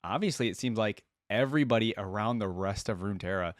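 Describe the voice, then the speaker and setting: A man's voice: on the low side, quick pace, no room sound. A man hosting a reaction video, chatting informally to viewers in casual commentary on a close mic. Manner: informal, conversational, addresses viewers. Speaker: host of a reaction channel.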